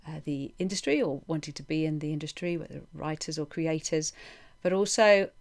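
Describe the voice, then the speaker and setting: A woman's voice: low voice, brisk. A woman interviewer talking in a conversational, chatty way, often rephrasing her questions as she goes. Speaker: interviewer, host.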